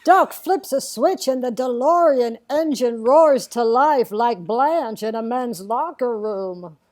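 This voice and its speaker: A high-pitched elderly lady narrates a comedic table read. She takes her time, halting between phrases, sweet on the surface and slyly naughty underneath. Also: expressive, a little mellow. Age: older. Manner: halting, deliberate storytelling with sly asides. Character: impression of elderly comic actress narrating, slow, sweetly naughty.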